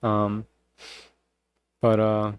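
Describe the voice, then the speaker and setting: A man's voice: on the low side, monotone, extremely slow, smooth, no room sound, narrow, tinny audio. A man hosting a casual podcast, congested, rambling with lots of fillers and laughs. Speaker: podcast host. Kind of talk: casual chat.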